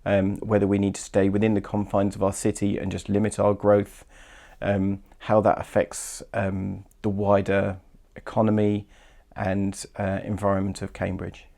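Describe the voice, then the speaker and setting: A man explaining policy as a parliamentary candidate at a hustings in a hesitant, halting way. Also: on the low side, completely flat delivery, creaky, dark tone.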